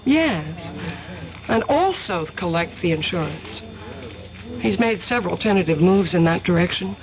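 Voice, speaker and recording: A man playing a hesitant, halting informant in an old radio drama. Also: high voice, lively intonation. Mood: worried. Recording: dull old radio broadcast.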